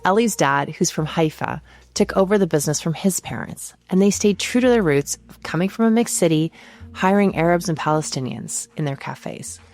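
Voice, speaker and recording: A woman's voice: lowish voice, lively intonation, moderate pace, darkish tone. A woman co-hosting a podcast, introducing the show and guests in an earnest way. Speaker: podcast co-host. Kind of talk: scripted podcast narration.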